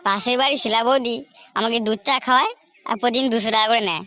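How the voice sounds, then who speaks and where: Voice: medium pitch, racing, background noise, lightly acted. A performer voicing a cheeky, whining, foul-mouthed grown son in a comedy cartoon, brash and complaining. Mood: pleading, cheeky.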